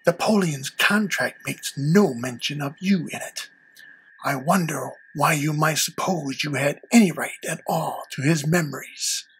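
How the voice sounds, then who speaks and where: A woman's voice: low voice, a little slow, fairly bright tone, husky, full character voice, rough recording. A woman playing a sinister, condescending parent in an audio drama, speaking in ornate, mockingly polite phrases. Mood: coolly mocking.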